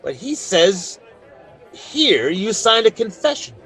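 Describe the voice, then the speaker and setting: An actor playing a plainspoken, fair-minded frontier marshal with authority in a radio western. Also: male voice, sits high, sing-song, slow pace, a bit bright, breathy edge, a faint crowd, full character voice, poor audio. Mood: stern, measured. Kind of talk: old-time radio western acting.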